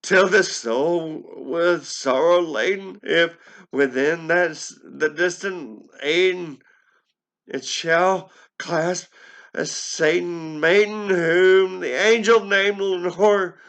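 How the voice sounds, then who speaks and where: A man playing an anguished, grieving narrator of a gothic poem, melodramatic and drawn-out as he rages and pleads. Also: high-pitched, a bit bright, voice pushed up, heavily acted. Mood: desperate pleading. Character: anguished grieving man raging at an ominous bird. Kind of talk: theatrical gothic poetry recitation.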